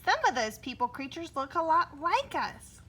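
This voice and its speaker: Storybook role: woman as easily spooked young male monster, high and very animated, prone to stammering. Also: moderate pace, heavily acted. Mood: excited. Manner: stammers when nervous.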